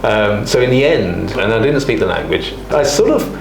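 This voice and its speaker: A man's voice: on the low side, expressive, normal speed. A middle-aged man answering interview questions in a conversational, thoughtful way, hesitating and often repeating words. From born in Hong Kong, living in the UK before China. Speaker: interview guest, emergency doctor. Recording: roomy echo, clear background noise.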